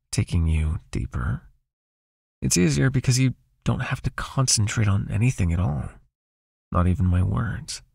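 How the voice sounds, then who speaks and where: A man playing a possessive, seductive vampire master in an ASMR hypnosis roleplay, murmuring breathily and slowly in an intimate, coaxing, softly commanding way. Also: deep voice, vocal fry, breathy edge, dry recording, clean studio sound, heavily acted.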